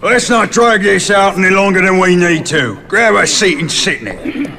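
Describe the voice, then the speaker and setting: A man playing a gruff, impatient police chief in an animated game cutscene, brusque in manner. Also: sits high, normal speed, crowd noise.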